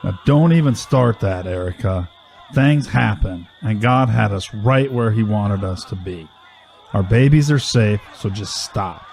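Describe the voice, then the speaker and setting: A man's voice: lowish voice, darkish tone. A man playing a protective, comforting husband and father in an audio drama, with some outdoor noise.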